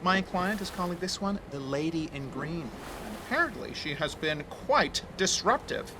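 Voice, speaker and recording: A man's voice: high-pitched, expressive, moderate pace, noisy background. An actor playing a campy, theatrical middle-aged male ghost hunter in an audio drama, grandiloquent and airy. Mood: theatrical, boastful. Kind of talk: audio screenplay acting.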